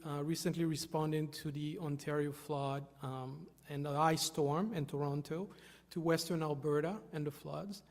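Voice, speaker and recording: A man from Haiti, giving an earnest, halting stage talk with many fillers. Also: on the high side, a little mellow. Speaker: volunteer speaker. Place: hall with an audience. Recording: long reverb of a large hall.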